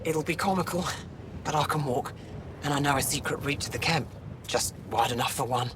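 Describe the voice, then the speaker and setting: Man voicing a plucky, high-voiced alien scout. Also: fairly quick, lightly acted. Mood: defiant, determined. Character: plucky, self-critical young male alien resistance scout. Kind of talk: scripted video game acting. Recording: loud ambient noise behind dialogue.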